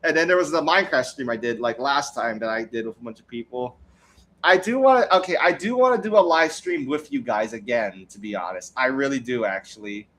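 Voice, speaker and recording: A man's voice: medium pitch, lively intonation, brisk, a bit bright. A young man chatting casually on a livestream, rambling with lots of restarts and frequent laughs. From United States. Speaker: streamer.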